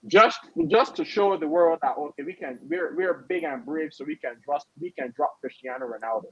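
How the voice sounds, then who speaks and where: A young man co-hosting a casual football chat, relaxed and slangy, often trailing off mid-sentence. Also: fairly high pitch, normal speed, breathy edge, no echo. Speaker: co-host. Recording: dull lo-fi sound.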